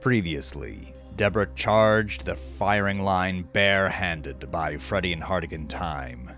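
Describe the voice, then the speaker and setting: A man narrating his own dark novel like a dramatic audiobook, brooding and deliberate, with gravelly phrases that often sink toward breathiness. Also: low-pitched. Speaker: novelist reading own novel, podcast host. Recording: faint music bed under voice. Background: faint music.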